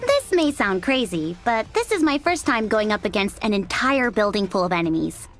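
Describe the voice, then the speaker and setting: A woman's voice: mid-range voice, big pitch swings, moderate pace. An actress playing a sassy, playful young woman soldier in a video game. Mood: wry. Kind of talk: English video game dub acting. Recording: music behind the voice.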